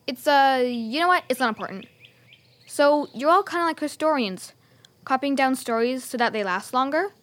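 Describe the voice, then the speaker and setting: Young girl adventurer, audio drama: high-pitched, earnest, eager to explain. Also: lively intonation, normal speed. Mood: curious, explanatory. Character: bright, helpful, bookish young girl adventurer.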